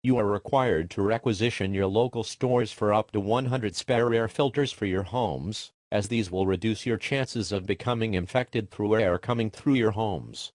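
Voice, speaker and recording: A man's voice: on the low side, normal speed, few pauses, a little mellow, dry recording, studio quality. A man narrating a scripted emergency broadcast in a formal, impersonal announcer manner.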